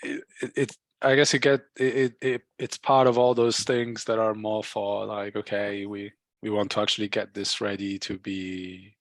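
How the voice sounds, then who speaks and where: A man in a casual technical work discussion, thinking aloud haltingly and often repeating words as he reasons. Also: lowish voice, level tone, dry recording. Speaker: software engineer in a team meeting. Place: work call, close dry sound.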